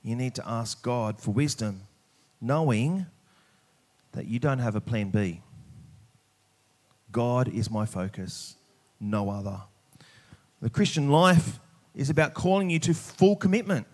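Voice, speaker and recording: A man preaching a sermon, speaking emphatically and pausing deliberately on key words. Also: mid-range voice, animated, darkish tone. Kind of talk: sermon with scripture reading.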